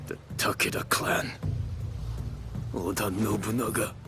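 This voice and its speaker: A man's voice: fairly low pitch, fairly even intonation, measured pace, close to an everyday voice. A man playing a proud, bitter samurai archer in a video game, speaking solemnly and formally. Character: proud bitter older samurai archer, loyal to his lord. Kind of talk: dramatic game cutscene acting. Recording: music behind the voice.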